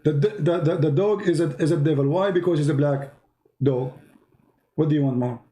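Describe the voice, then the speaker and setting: Insistent lecturing man, higher voice. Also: normal speed, mellow. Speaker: host. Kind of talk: heated call-in debate.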